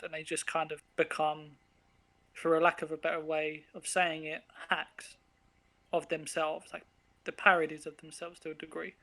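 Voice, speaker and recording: Bright, even-toned man rambling casually. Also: a little high. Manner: rambling, informal, searching for words. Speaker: interviewed author of an audio drama. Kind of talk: casual reflective conversation.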